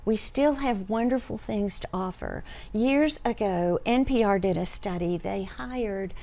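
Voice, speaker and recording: An older woman, a guest on a TV studio talk show, explaining things in a measured way through a lapel mic. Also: mid-range voice, warm tone. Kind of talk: TV studio interview. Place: TV studio desk.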